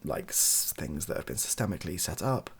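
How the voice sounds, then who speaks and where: British guy talking softly, husky voice, rambles and hedges his opinions, pitch wanders around a lot. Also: animated, fairly quick. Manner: soft-spoken, hesitant and rambling. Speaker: online video creator. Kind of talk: softly spoken improvised opinion monologue.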